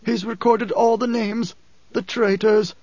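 Man acting a wheezing, high-voiced sick old warrior. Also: little pitch movement, a little slow, darkish tone, dry recording, lo-fi sound. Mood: halting, wheezing. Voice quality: wheezy, gasping.

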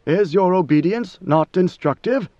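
A man voicing a cold, commanding ancient ruler in a measured, lofty way. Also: high-pitched, animated, dark tone, no room sound, lo-fi sound. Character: ancient imperious male god-emperor, coldly commanding.

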